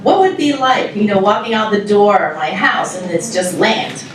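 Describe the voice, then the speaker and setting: A woman from Taiwan, giving a chatty, giggly welcome speech to an audience, pausing often with fillers. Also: medium pitch, expressive, a little hiss or hum. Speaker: music club organizer presenting a show. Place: large indoor hall with live audience. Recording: very echoey hall, mic far off.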